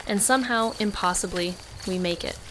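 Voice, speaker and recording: An actress as a brooding woman noir sleuth, rumbly backdrop. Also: noisy background, lightly acted. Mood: brooding, reflective. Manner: brooding, deliberate narration, dry understated dialogue. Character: adult female amateur sleuth and noir narrator, introspective, steady. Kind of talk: neo-noir audio drama narration and dialogue.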